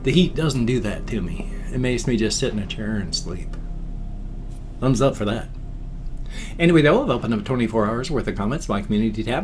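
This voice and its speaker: A man in his fifties from the United States, chatting casually in a vlog and drawling his thank-yous slowly. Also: fairly low pitch. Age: 50s. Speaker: vlogger.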